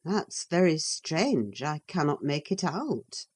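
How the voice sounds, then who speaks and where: A woman reading an audiobook, voicing a practical, cautious woman servant who talks in a measured, reproving way. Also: low voice, sing-song, a little mellow, husky, full character voice. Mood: protesting.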